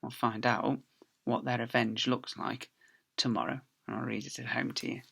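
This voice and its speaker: Bright, forceful man reading a story aloud. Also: medium pitch, flat delivery, moderate pace, creaky, slightly rough. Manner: theatrical emphasis with acted sound effects. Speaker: teacher reading aloud to schoolchildren. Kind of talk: animated storytelling.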